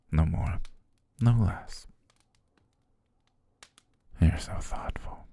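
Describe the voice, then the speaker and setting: Male actor as devoted butler captor, very low gravelly voice, breathy near-whisper, coaxing, softly menacing, ASMR roleplay. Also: heavily acted. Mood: fond grateful. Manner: tender, measured, coaxing, lingering pauses. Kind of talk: intimate ASMR roleplay, slow whispery romance acting. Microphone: close, dry studio microphone.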